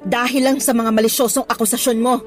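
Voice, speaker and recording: A woman acts as a young, principled schoolteacher with a Filipino accent in a radio drama. Her voice is very high, earnest and indignant as she scolds. A music bed sits behind her. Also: little pitch movement, fast, darkish tone, lightly acted. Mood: indignant, firm. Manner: earnest, scolding, mixes English into Tagalog. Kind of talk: Tagalog radio drama acting.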